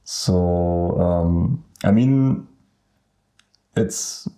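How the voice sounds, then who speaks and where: A young man from Germany with a German accent, co-hosting a casual podcast and talking hesitantly with many pauses. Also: low voice, warm tone. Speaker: podcast co-host. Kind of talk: casual chat.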